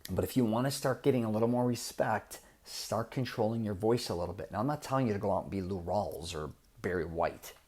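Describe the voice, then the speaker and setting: A man's voice: on the low side, fairly quick, few pauses, darkish tone. A middle-aged man hosting a podcast, giving blunt, direct advice in a casual monologue. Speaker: podcast host.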